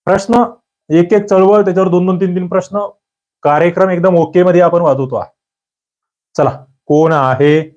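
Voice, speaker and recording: A man giving a quiz-style exam-prep lecture, firing questions and demanding quick answers from his students. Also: fairly even intonation, a little mellow. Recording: muffled low-fidelity recording.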